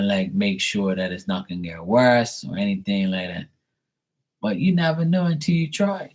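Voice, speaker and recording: A man's voice: lowish voice, darkish tone. A man from Bermuda, hosting a live stream, talking bluntly and profanely in a rambling casual rant. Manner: blunt, profane, rambling, acts out quoted voices.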